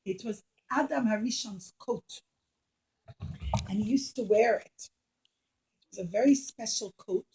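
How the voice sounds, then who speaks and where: A woman's voice: medium pitch, a little slow, pauses often, dark tone, rough recording. A woman telling a puppet-show story to children in a teacherly way, addressing them directly with dramatic emphasis.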